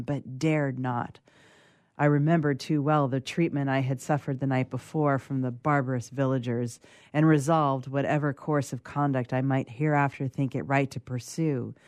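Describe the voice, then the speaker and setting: Casting: woman as lonely outcast creature from a literary classic, low-pitched, wistful, measured, close dry sound. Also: a little mellow, dry recording, lightly acted. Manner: formal, measured literary reading.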